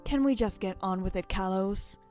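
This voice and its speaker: A woman's voice: medium pitch, normal speed, darkish tone, soft background music, lightly acted. A woman playing a stern, impatient character in a fan audio drama, curt and wearily disapproving. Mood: exasperated. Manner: curt, weary exasperation.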